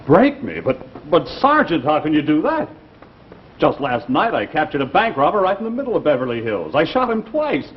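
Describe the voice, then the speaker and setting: A hapless, earnest police officer in 1950s radio comedy. His slightly high voice jumps around expressively, on a dull recording with faint noise. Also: wildly swooping pitch, normal speed. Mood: indignant.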